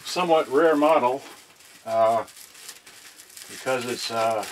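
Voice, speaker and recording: A man narrating an unboxing off the cuff in a casual, halting way, thinking aloud in broken fragments. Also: medium pitch. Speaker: vlogger unboxing and testing a vintage cassette radio. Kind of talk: unscripted casual narration while handling items. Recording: distant mic, loud noise, clicks and handling.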